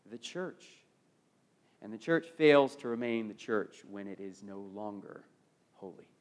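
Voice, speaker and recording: American male preacher, middle-aged, high voice, emphatic sermon delivery, repeated phrases, wide swings in volume. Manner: swings from loud proclamation to hushed phrases.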